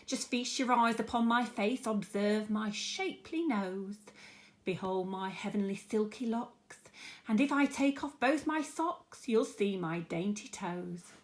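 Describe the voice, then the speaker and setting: A woman's voice: mid-range voice, a little slow, natural voice. A storybook aunt, played by a woman reader, puffed up with vanity and cruelty. She declaims grandly and theatrically, thoroughly pleased with herself. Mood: preening, grand. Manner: pompous, theatrical, self-adoring. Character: vain, cruel, overblown aunt, Sponge.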